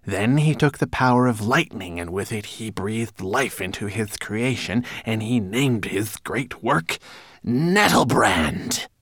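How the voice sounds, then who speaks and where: A man's voice: on the low side, expressive, takes it slowly, fairly bright tone, dry recording, pitched above the performer's own voice, full character voice. A man voicing a tiny, nervous male homunculus servant with an obsequious, fussing manner, in a storybook reading. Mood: dutiful reciting. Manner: obsequious, fussing, reciting dutifully.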